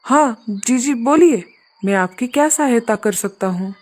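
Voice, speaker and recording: A woman's voice: on the high side, lively intonation, unhurried. A woman voicing a polite, earnest male crow who runs a hotel in a children's cartoon story.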